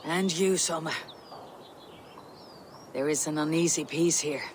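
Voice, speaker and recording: An actor playing a stern, blunt Viking warrior leader who speaks grimly and firmly, in a video game. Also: male voice, a little high, measured pace, in a large hall, a little hiss or hum, close to an everyday voice. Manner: grim, firm.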